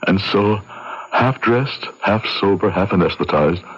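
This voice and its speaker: An actor playing an earnest, troubled doctor, speaking in a measured, dramatic way in an old-time radio drama. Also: male voice, low-pitched, expressive. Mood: rueful. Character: earnest, troubled young male doctor recalling his guilt. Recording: muffled old radio recording with music.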